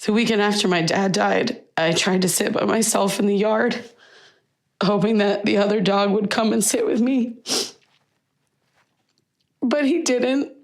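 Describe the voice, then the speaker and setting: An actress playing a young grieving daughter in a naturalistic audio drama, talking in a halting, conversational, wistful way. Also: female voice, mid-range voice, natural voice. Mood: wistful, sad. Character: grieving daughter reminiscing fondly about her late father.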